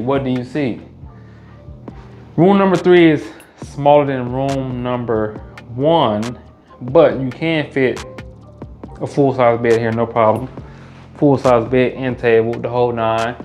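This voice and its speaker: A man's voice: mid-range voice, slow, darkish tone, soft background music. A man gives a casual, upbeat house tour in bare indoor rooms, repeating his catchphrases. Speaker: realtor and house flipper. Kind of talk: walkthrough house tour vlog.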